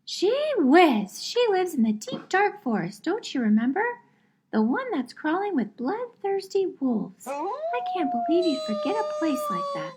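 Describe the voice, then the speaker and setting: A woman's voice: extremely high pitch, sing-song, a little slow, darkish tone, a little hiss or hum, exaggerated voice. A woman voicing a bossy, exasperated mermaid mother with little sympathy, in a puppet show.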